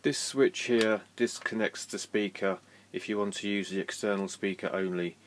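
A man giving a scripted demonstration in a plain, measured, matter-of-fact way. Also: on the low side, fairly even intonation. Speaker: presenter demonstrating vintage equipment. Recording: faint background noise.

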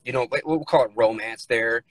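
A man's voice: medium pitch, a little fast. A man in his thirties from the United States, chatting bluntly and casually over a phone video call from inside his car. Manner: relaxed, blunt, casual phrasing. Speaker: guest.

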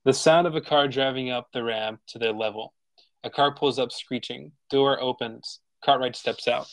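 A man's voice: mid-range voice, fairly even intonation, normal speed, no echo, poor audio. A man narrating the stage directions of a screenplay at a table read.

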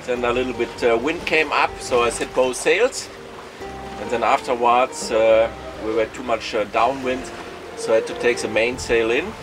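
A middle-aged man, a German native speaker, chatting casually to camera from a sailboat cockpit at sea, with plain wording and frequent pauses. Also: mid-range voice, fairly even intonation, music in the background. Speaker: solo sailor vlogger. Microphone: camera mic at close range. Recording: wind and water noise at sea.

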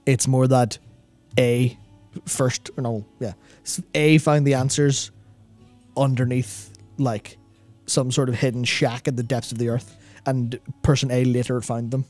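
A man's voice: medium pitch, level tone, unhurried, a little mellow, soft background music. A man in his thirties chatting casually and analytically as a co-host, explaining things in a rambling, self-correcting way into a close studio microphone. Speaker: co-host of a gaming discussion show. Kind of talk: casual analytical chat about game lore. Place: small indoor studio with acoustic foam. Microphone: close broadcast microphone on boom arm.

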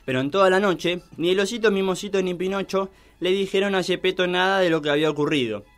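A man's voice: high voice, fast talker, soft background music. A man narrating a scripted mock children's tale as a storyteller.

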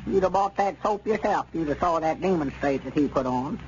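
A man's voice: fairly high pitch, expressive, a little fast, close to an everyday voice. A man playing a gullible, defensive old country storekeeper with a rural Arkansas accent in radio comedy, folksy and flustered. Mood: indignant. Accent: Southern US, rural Arkansas. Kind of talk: 1930s radio comedy acting. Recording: muffled, noisy 1930s radio recording.

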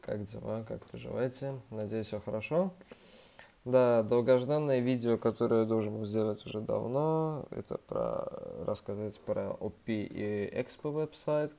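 A man's voice: lowish voice, a little slow, vocal fry, mellow. A young man, a bit ill, casually walking viewers through a website in a screen-recorded tutorial, with many fillers and restarts. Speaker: student organization member giving a tutorial.

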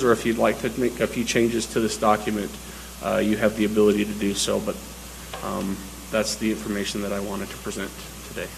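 Low-voiced man presenting to a council. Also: vocal fry, slight background noise, poor audio. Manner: methodical, hesitant with frequent fillers. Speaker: city staff presenter. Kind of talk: formal public meeting presentation. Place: city council chamber.

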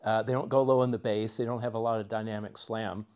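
A man's voice: lowish voice, steady tone, quick pace, a little mellow. An older man, conducting a technical interview as a reviewer in a listening room. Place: carpeted home listening room, indoors. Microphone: clip-on lapel mic.

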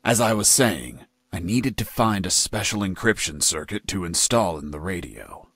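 Low-voiced, wry game hero. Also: male voice, lively intonation, normal speed, fairly bright tone, husky, no echo, studio quality, heavily acted. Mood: deadpan recounting. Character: wry, unflappable male prisoner telling his escape story. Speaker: jailed escapee hero of a video game. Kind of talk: video game narration and dialogue.

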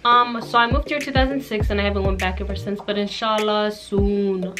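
A woman's voice: medium pitch, steady tone, brisk. A sassy, chatty woman of twenty-one, born in Somaliland with Somali as her first language, answers questions in a casual vlog. She sits close to the camera in a small dim room, with music playing underneath. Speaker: beauty vlogger answering questions. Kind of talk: casual get-to-know-me Q&A.

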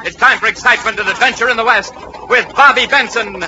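An actor as an excited announcer, narrating a vintage western radio serial in dramatic, declamatory style. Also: male voice, very high-pitched, fast talker, exaggerated voice. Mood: dramatic excitement. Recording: noisy, muffled old radio recording.

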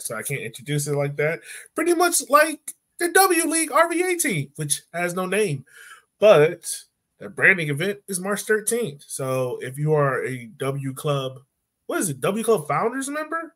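Give this one a man in his thirties with a fairly high voice and very animated intonation. He hosts a sports show in a casual way, teasing and playing around as he talks. It is recorded at home on a video call. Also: moderate pace. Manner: playful, teasing. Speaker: podcast host.

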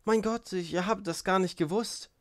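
A man's voice: on the high side, unhurried, no room sound, clean studio sound, voice pushed up. A man voicing an impulsive, emotional young woman in an audiobook, speaking in an exclamatory, effusive way and repeating herself. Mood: startled, pious.

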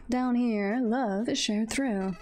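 A woman's voice: on the high side, extremely slow, a little mellow, a little hiss or hum. A woman voicing a falsely friendly male flower in a video game, with a put-on Southern accent and a folksy, wheedling manner. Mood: coaxing.

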